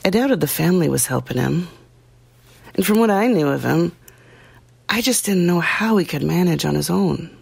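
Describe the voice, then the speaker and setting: Low, mellow, subdued small-town woman. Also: expressive, creaky, husky, full character voice. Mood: resigned, pitying. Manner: halting, subdued, confessional. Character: guilt-ridden, compassionate, plain-spoken small-town woman recounting events. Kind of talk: first-person audio drama testimony.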